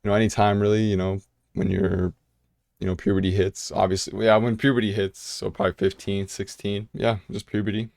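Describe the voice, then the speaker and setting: A man's voice: lowish voice, level tone, a little fast, gravelly, a little mellow, no room sound, clean studio sound. A young American man answering viewer questions in a casual vlog, talking loosely with lots of fillers and restarts. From United States. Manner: casual, filler-heavy with many "you know"s and restarts.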